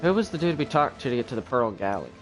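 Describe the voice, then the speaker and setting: A young adult man chatting casually on a live game stream in a rambling, halting, low-key way. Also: sing-song. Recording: game music behind voice. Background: video game music.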